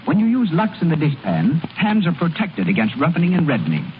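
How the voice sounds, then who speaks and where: A man's voice: a little high, huge pitch swings, fairly quick. A man reading a scripted 1930s radio advertisement as a commercial announcer. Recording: tinny, hissy old radio broadcast.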